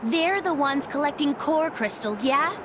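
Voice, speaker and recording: A woman's voice: sits high, lively intonation, measured pace, noisy background. A woman voicing a feisty, sarcastic young girl adventurer in a video game, snappy and blunt. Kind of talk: video game cutscene acting.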